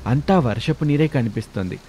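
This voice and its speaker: A man's voice: medium pitch, lively intonation, fairly quick, dark tone, a little hiss or hum. A man reading scripted narration for a story as its narrator.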